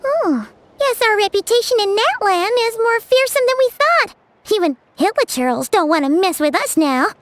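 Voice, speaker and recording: A woman voicing a tiny, excitable fairy companion who talks about herself in the third person, in video game dialogue. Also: very high voice, normal speed. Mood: chirpy. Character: tiny floating fairy companion, chirpy, excitable, self-centered. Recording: game soundtrack music behind.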